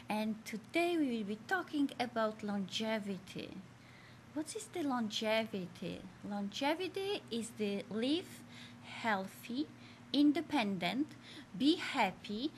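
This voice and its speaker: Slow-speaking Polish-accented woman. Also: on the high side, slight background noise. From Poland. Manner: broken English, slow, earnest and encouraging. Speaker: host. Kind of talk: presenting a health show for seniors. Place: studio in front of a green screen.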